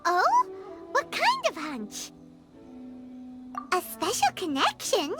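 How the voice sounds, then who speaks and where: A woman voicing a small, excitable female fairy companion in a game, with a very high, squeaky voice. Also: takes it slowly, heavily acted. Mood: indignant. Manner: excitable, indignant, chatty. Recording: game audio with loud music behind. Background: game music.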